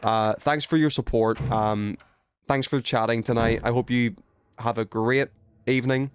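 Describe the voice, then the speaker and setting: A man's voice: mid-range voice, fairly even intonation, a little slow, clear, resonant tone, dry recording. A man chatting casually on a game livestream, thinking aloud, questioning himself and trailing off in informal, slangy speech. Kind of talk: casual livestream gameplay chat.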